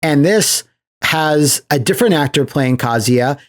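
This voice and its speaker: A man hosting a podcast in casual chat, recapping things as an animated storyteller who slips into acted-out quotes. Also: fairly high pitch, measured pace. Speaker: podcast host.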